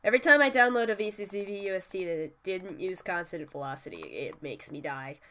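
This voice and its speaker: A woman's voice: lowish voice, sing-song, fast talker, a bit bright. A woman with a stuffy cold, chatting casually through a screen-share tutorial on tuning a vocal synth, with laughing, mildly swearing asides. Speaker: music producer teaching vocal synth tuning.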